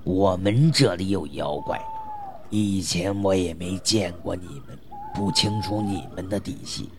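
A wary old village man, very low in pitch with big swings in intonation. He speaks slowly and fearfully, pleading, in an audio drama with noticeable noise behind him. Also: huge pitch swings, exaggerated voice. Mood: fearful, cautioning. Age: older. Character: frightened, cautious old male villager warning strangers.

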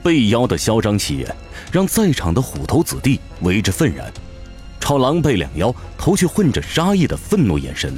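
A man delivers dramatic scripted fantasy narration. His mid-range voice rises and falls with great animation. Music plays clearly behind him throughout. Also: mid-range voice, huge pitch swings, moderate pace, a little mellow.

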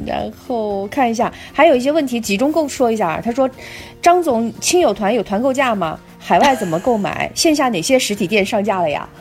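A woman with a somewhat high voice talks casually as a show co-host. Her intonation is animated and moves around a lot, and there is light music in the background. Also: brisk. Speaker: talk show co-host, relaying listener questions. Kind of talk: casual chat.

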